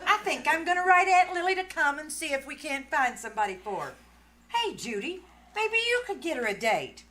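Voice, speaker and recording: A woman's voice: high-pitched, very animated, moderate pace, sharp tone, a little hiss or hum, heavily acted. A woman playing a fussy, well-meaning 1940s mother in old-time radio comedy, earnest and scolding. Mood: indignant, coaxing.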